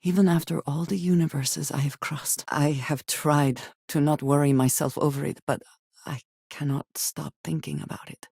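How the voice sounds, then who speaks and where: An actress voicing a guarded, earnest young woman space explorer in a video game, speaking in formal, measured phrases with few contractions. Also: low voice, fairly even intonation, vocal fry, darkish tone, husky, no echo, studio quality, full character voice. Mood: tender, earnest.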